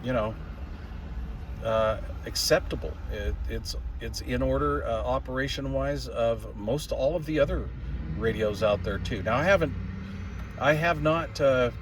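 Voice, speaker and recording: An older man talks in a medium-pitched voice, casually reviewing equipment as a hobbyist. His delivery is folksy and plain-spoken. He rambles from point to point like someone chatting to regular viewers. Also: measured pace, background noise. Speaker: radio hobbyist reviewer and video host. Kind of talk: casual product review vlog.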